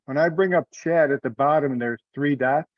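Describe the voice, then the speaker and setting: A man's voice: a little high, moderate pace, no room sound. Man on a muffled conference call. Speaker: meeting participant leading discussion. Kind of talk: casual online meeting talk. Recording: muffled, band-limited conference call audio.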